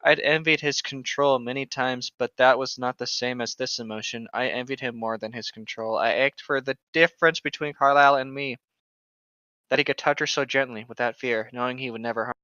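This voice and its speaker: A man's voice: mid-range voice, fairly even intonation, a little fast, sharp tone, clean tone, dry recording, dull audio. A man co-hosting a podcast, reading a novel aloud and breaking off for blunt, irreverent joking commentary. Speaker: podcast co-host.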